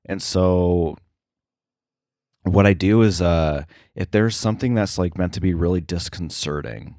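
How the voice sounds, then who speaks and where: A man's voice: low voice, unhurried, a little mellow, dry recording, clean studio sound. A man chatting casually as an interview guest on sound editing work, hesitant and often thinking aloud. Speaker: podcast guest, sound effects editor.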